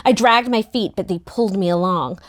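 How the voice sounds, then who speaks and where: A woman narrating a first-person story in an expressive, youthful storytelling manner. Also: medium pitch, brisk. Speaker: narrator in a book table read.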